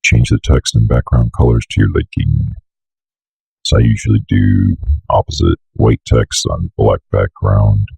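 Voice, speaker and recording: A man's voice: very deep voice, steady tone, a little slow, vocal fry, darkish tone, husky, no echo. A young man giving a casual screen-share tutorial, rambling and thinking aloud as he works. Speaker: tutorial presenter. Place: indoors at a computer desk.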